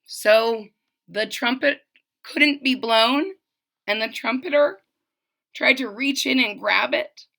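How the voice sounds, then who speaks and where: A woman's voice: fairly high pitch, vocal fry, pushed and bright. A woman reading a children's story aloud expressively and dramatically, stopping to retell it and address the listeners. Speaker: storybook reader.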